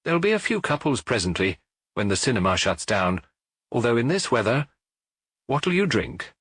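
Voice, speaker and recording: A man's voice: medium pitch, very animated, moderate pace, fairly bright tone, slightly rough, clean studio sound, voice pushed up. A man voicing a chatty, knowing dance-hall proprietor with a familiar, confiding manner in an audiobook. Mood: chatty, inquisitive. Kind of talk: audiobook character dialogue.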